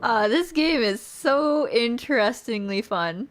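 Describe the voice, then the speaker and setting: A woman streamer reading a visual novel aloud as theatrical storybook narration, expressive and playful. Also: on the high side, slow. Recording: music behind the voice.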